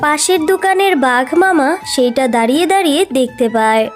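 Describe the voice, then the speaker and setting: Female narrator, high voice, scripted children's story, faint music behind. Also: animated, a little fast.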